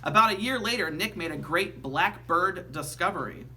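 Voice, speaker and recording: A man reading a children's story aloud like a teacher, with animated, emphatic storytelling. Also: high voice, moderate pace, slight background noise.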